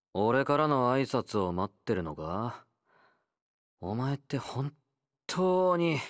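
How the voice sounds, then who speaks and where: A man's voice: on the low side, dry recording, clean studio sound. A male actor playing a laid-back young convict fighter in a game, blunt, teasing and nonchalant, with a drawl. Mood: bored, dismissive. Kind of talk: Japanese game character voice lines.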